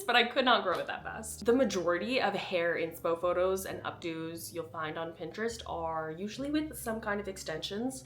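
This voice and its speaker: A young woman with a stuffy, slightly nasal voice from a cold talks straight to her viewers. Her delivery is chatty and candid, loose with filler words, like a friend giving honest advice. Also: mid-range voice. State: congested from a cold. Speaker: beauty vlogger. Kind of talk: casual talking-head vlog.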